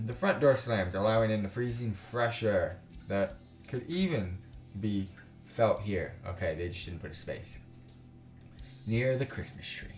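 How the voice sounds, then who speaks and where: A man with a fairly low voice hosts a casual let's play over background music. He reads story text aloud haltingly and breaks off into exasperated, slang-filled commentary. The room can be clearly heard around his voice. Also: very animated. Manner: exasperated, informal slang, swearing; halting, stumbling reading. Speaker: gaming YouTuber, let's play host. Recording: roomy sound with music underneath.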